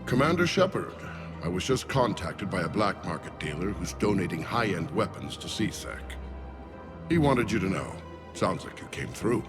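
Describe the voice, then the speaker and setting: An actor playing a grave, war-weary old alien general in a sci-fi game, speaking formally. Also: male voice, sits low, big pitch swings, normal speed, music in the background. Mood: sober, grateful. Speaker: military commander. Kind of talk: dramatic sci-fi game acting.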